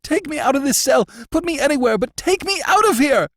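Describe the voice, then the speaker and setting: A man voicing a terrified male prisoner who stammers and pleads in a high, strained, cracking voice, as in an audiobook. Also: animated, a little fast, fairly bright tone, no room sound, clean studio sound, exaggerated voice. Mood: panicked pleading. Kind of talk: audiobook character voice.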